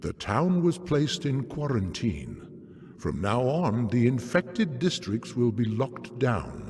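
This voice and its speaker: Slow theatrical herald of doom. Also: male voice, medium pitch, big pitch swings, a little mellow. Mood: ominous. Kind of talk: dramatic scripted game cutscene dialogue. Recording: long hall reverb, faint music behind.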